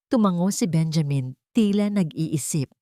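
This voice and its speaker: A woman's voice: fairly low pitch, expressive, moderate pace, dark tone, dry recording, clean studio sound. A woman narrating a scripted dramatic romance story.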